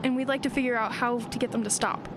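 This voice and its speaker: A woman's voice: steady tone, fast, lightly acted. A woman playing an earnest, practical small-town teenage girl in an audio drama, plain-spoken and matter-of-fact. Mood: politely determined. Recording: clear outdoor ambience and vehicle rumble.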